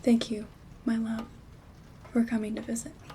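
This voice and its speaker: Soft whispery witch lady doing asmr roleplay, kinda high but really mellow, caring and soothing, breathy, light rain in the back. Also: close to an everyday voice. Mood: tender, affectionate. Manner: soothing, slow, hushed, formal and tender wording. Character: gentle, affectionate female witch and caring friend. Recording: steady background rain ambience noise.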